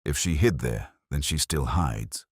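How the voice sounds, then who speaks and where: A man voicing a calm, cerebral Victorian detective with measured, formal speech and quiet authority in an audiobook story. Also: very deep voice, breathy edge, dry recording, clean studio sound, full character voice. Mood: coolly deductive.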